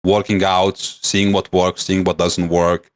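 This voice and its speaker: Low-voiced man with a Lithuanian English accent. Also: flat delivery, takes it slowly. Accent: Lithuanian English, mixed. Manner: rambling, many fillers and restarts. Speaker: guest, streamer. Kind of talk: casual podcast chat.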